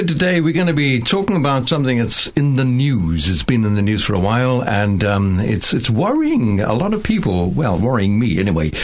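A man hosts a faith program from a studio. He speaks in a mid-pitched, earnest voice and often pauses with fillers. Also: fairly bright tone. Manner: earnest, halting, with many fillers. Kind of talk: hosting a studio interview.

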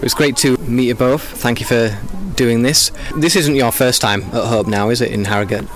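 A man's voice: mid-range voice, fairly even intonation, moderate pace, faint music behind. Guy doing radio interview, short friendly questions. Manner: friendly, brief prompting questions.

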